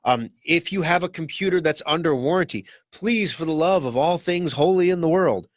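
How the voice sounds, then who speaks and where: A man hosting a casual talk radio show in a rambling, joking way, his voice rough and scratchy from a cold. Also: on the high side, normal speed, no room sound, clean studio sound.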